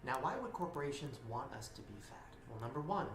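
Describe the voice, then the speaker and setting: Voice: medium pitch, sing-song, fairly quick. Dramatic YouTuber narrating in an echoey room. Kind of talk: scripted video narration.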